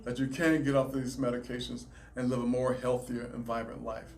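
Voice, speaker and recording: A man with a Southern US accent, a chiropractor, answering interview questions in a measured, explanatory way. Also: mid-range voice, little pitch movement. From Alabama, raised in South Carolina. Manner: measured, explanatory, some restarts. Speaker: chiropractor, guest.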